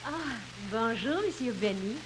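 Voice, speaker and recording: A woman's voice: a little high, animated, unhurried. A woman playing a polite young French nursemaid with a French accent, in old radio comedy style. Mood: coy, flattered. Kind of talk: 1950s radio comedy acting. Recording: crackly, noisy old radio recording.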